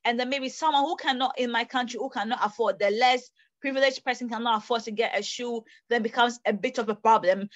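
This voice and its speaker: A young woman from Ghana makes her case to an online panel from a small bedroom. Her voice sits fairly high, and she talks with animated gestures, often restating herself mid-thought. The tablet audio is dry and a little muffled. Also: no echo. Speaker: host of an online panel discussion. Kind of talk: earnest debate remarks. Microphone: tablet camera on a video call.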